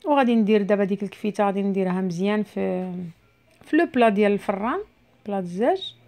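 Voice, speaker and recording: A woman with a Moroccan Arabic accent talks quickly through a home recipe. She explains each step as she cooks, in a relaxed, chatty way. Her voice sits at a middle pitch. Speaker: cooking vlogger. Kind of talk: casual cooking voice-over.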